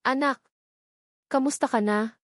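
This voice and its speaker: A woman's voice: high voice, unhurried, no room sound, studio quality, pitched above the performer's own voice. Woman voicing a fretful, nagging older mother. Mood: worried, nagging. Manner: fretful, admonishing. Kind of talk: storybook character dialogue.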